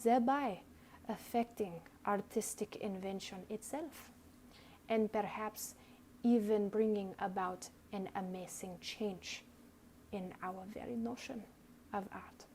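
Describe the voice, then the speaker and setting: Over clear background noise, a woman with a soft-edged, mellow tone performs a dramatic reading of an essay. She takes her time, theatrical and deliberate, letting pauses land between phrases. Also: mid-range voice, steady tone. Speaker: reader.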